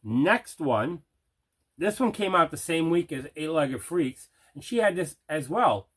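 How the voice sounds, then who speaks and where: A man's voice: fairly high pitch, lively intonation, measured pace, a bit bright. A man hosting a casual unboxing video as a collector, talking in an enthusiastic, conversational way. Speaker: movie collector, video host.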